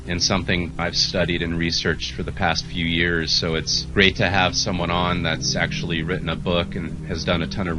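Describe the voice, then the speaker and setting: A man with a very deep voice hosts the show in a steady, polished radio manner. Loud music plays behind him. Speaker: podcast host. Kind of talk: scripted intro and interview questions.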